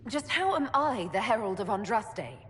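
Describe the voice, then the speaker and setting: Lively, sardonic elven mage woman played by an actress. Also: medium pitch, moderate pace, lightly acted. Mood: skeptical. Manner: wry, questioning, skeptical. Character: sardonic female elven mage, reluctant chosen hero. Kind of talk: dramatic fantasy game acting. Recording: reverberant hall echo.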